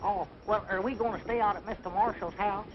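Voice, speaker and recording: An actor playing a naive old country bumpkin with a rural Southern American accent, folksy and literal-minded, in an old-time radio comedy. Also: male voice, sits high, fairly even intonation, brisk, lightly acted. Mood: wondering. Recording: dull, hissy old radio recording.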